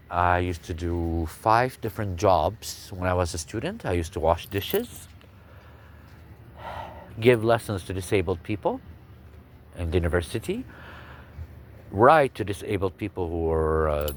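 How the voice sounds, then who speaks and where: Low-voiced man. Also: a little hiss or hum. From Kuwait. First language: Arabic. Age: 40s. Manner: halting, with frequent pauses. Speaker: speaker telling his life story. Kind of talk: personal testimony monologue.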